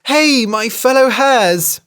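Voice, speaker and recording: A storyteller voicing a wily female hare who sweet-talks and tricks others, in a raised, lively voice. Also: mid-range voice, slow, pitched above the performer's own voice. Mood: urgent, cheeky. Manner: sweet-talking, sly, bright and loud.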